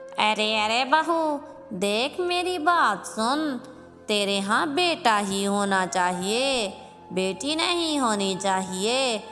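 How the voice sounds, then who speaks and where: Woman as a bossy mother-in-law bird, very slow. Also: on the high side, animated, a bit bright. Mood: scolding. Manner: nagging, admonishing. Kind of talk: Hindi cartoon moral-story voice acting. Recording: long reverb with music behind.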